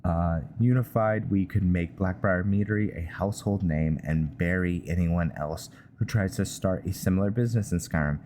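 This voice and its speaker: A man hosting a gaming video, giving casual, halting roleplay commentary and reading in-game text aloud. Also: sits low, dark tone, slight background noise. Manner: hesitant, halting delivery. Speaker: gaming channel host.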